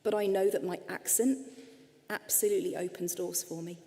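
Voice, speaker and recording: A woman's voice: fairly low pitch, fairly even intonation, normal speed, vocal fry, dark tone. A middle-aged woman with an English accent giving an earnest, explanatory presentation as a clinician, speaking directly to her audience. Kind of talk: lecture-style presentation with slides. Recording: long hall reverb.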